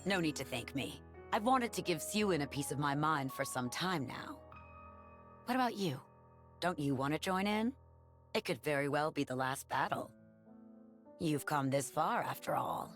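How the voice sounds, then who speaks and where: A woman's voice: sits low, lively intonation, moderate pace, soft background music. A woman voicing a composed, knowing woman with a probing manner in an English anime game dub. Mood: cool, coaxing. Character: composed, knowing adult woman who coaxes others toward battle.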